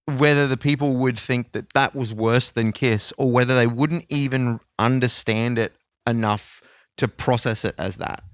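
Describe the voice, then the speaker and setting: A man co-hosting a podcast, chatting casually and giving animated opinions with some casual swearing. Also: medium pitch, moderate pace, clean tone, dry recording. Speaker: podcast co-host. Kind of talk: casual music chat.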